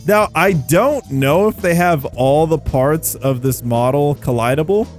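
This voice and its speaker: A man giving casual gameplay commentary in an excitable, slangy, rambling way, often repeating phrases as he thinks aloud. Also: on the high side, unhurried. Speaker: video game YouTuber and host. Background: background music.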